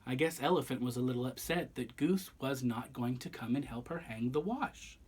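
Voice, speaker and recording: A man's voice: mid-range voice, normal speed, a little mellow, husky. A man reading a picture book aloud expressively and theatrically, with chatty asides straight to the listeners. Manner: theatrical, addresses listeners directly. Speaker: storyteller.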